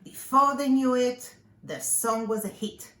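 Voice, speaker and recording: A woman's voice: fairly high pitch, a little slow. Woman reading to young children, echoey room. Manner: simple, expressive storytelling for young children. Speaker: pre-K teacher reading aloud. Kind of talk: children's storybook read-aloud. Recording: echoey room with faint background noise.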